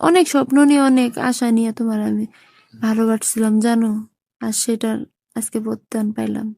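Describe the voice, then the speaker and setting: A woman's voice: a little high, steady tone, brisk, creaky, warm tone, no room sound, clean studio sound. A woman playing a heartbroken young woman who reproaches her lover in colloquial Bangladeshi Bengali on an emotional phone-call drama. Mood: plaintive, despairing. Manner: reproachful, plaintive.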